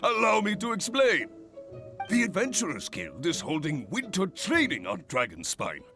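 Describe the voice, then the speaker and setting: Wildly animated jovial guild leader. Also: male voice, normal speed, music in the background. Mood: explaining proudly. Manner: theatrical, effusive, booming enthusiasm. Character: jovial, grandiose male adventurers' guild leader. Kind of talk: anime video game voice acting.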